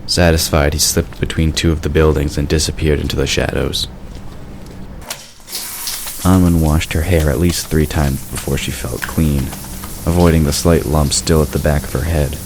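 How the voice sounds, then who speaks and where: A man's voice: very deep voice, steady tone, moderate pace. A man reading scripted narration for a fantasy audio drama. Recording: clear background noise.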